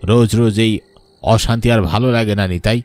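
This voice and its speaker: A man's voice: lowish voice, steady tone, brisk, darkish tone, natural voice. A man voicing a grave, vengeful ghost of a wronged elder brother, scolding in a horror cartoon. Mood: reproachful. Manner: scolding, reproachful. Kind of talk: Bengali horror cartoon acting.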